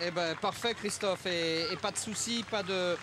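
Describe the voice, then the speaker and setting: A man's voice: sits high, moderate pace, noisy background. A male radio host interviewing a caller in a chatty, informal way. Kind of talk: studio interview.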